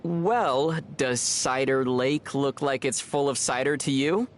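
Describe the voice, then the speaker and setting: Low-voiced, calm young male traveler. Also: slow pace. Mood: dry teasing. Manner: dry, understated teasing. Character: young male traveler hero, calm, dry, gently teasing. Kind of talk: video game voice acting.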